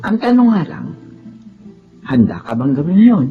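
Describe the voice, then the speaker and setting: An actress playing a kindly older auntie in a Tagalog radio drama, counselling gently and expressively with faith. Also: female voice, low voice, sing-song, moderate pace. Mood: caring, counselling. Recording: dull audio, music bed behind.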